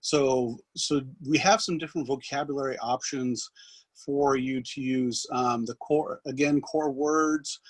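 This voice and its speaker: A man giving an instructional software demonstration as a trainer, explaining in a halting way. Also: medium pitch, fairly even intonation, dry recording, low-quality recording. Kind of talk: instructional screen demonstration, loosely scripted.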